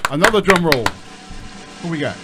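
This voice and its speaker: Mature male radio co-host, fairly high voice, effusive banter, other voices chattering around him. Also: expressive, brisk, a loud crowd, heavy background noise. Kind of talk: casual live radio hosting and banter. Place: radio studio with guests.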